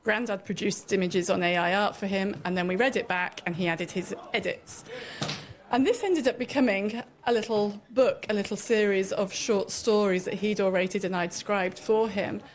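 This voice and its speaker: Middle-aged woman with a medium-pitched voice. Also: moderate pace. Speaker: interview guest, parent. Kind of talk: radio interview, conversational storytelling.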